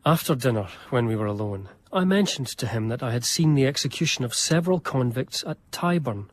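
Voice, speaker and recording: An actor playing an earnest, anxious gentleman biographer, narrating a period radio drama in a formal, reflective way. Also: medium pitch, lively intonation, brisk, natural voice. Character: earnest, anxious, devoted gentleman biographer recalling his friend.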